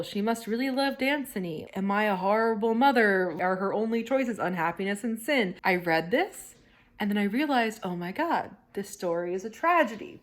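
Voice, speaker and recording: A woman talking casually and expressively to her vlog, giving strong opinions in a dramatizing way. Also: mid-range voice. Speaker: reading vlog host. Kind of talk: casual first-person vlog chat. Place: inside a car on a road trip.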